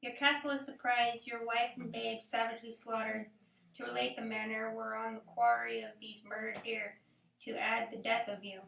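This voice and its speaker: A woman's voice: fairly high pitch, little pitch movement, normal speed, gravelly, fairly bright tone. A woman acting a solemn noble messenger in a Shakespearean radio play, reciting grim tidings in a noisy, roomy, distant recording. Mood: grave, sorrowful. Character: grave noble messenger bearing terrible news.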